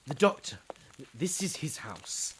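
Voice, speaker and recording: A man playing an earnest scout who reports gravely in a fan audio drama. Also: on the high side, very animated, unhurried, fairly bright tone, slight background noise. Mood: urgent. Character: earnest male scout reporting what he overheard.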